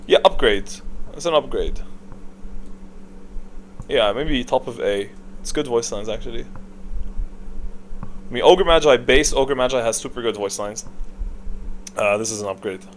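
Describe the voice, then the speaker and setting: A man with a medium-pitched voice talks through a gaming tier list. He is casual and opinionated, thinking aloud in a halting, unscripted way. A faint layer of noise sits behind his voice. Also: poor audio. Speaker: video creator, gaming commentator.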